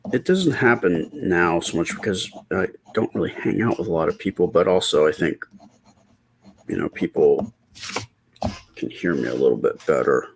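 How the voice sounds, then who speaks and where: A middle-aged man talking softly and casually in ASMR style as he draws, with a quiet, hesitant, rambling delivery. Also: sits low, animated, normal speed, creaky, breathy edge. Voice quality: quiet, soft-spoken voice. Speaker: artist and channel host. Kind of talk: casual ASMR storytime while drawing.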